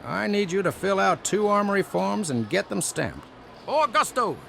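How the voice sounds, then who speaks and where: A man voicing a plump old gnome elder with a creaky voice and quaint, deliberate, formal phrasing, in a fantasy audio story. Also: sits high, sing-song, bright, forceful tone, a little hiss or hum, heavily acted. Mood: brisk, commanding.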